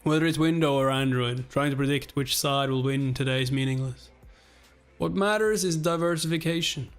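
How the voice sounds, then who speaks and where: A man reading aloud the part of a commanding, coolly decisive male executive in a webtoon, formal and measured. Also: medium pitch, smooth, faint music behind, natural voice. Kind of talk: dramatic read-aloud of webtoon dialogue.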